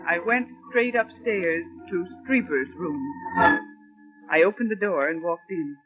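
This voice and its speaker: Earnest radio-mystery heroine played by an actress, old recording. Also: female voice, on the low side, a little slow, narrow, tinny audio, soft background music, natural voice. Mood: brooding. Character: earnest, determined female secretary, haunted by suspicion. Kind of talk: old-time radio mystery acting with narration.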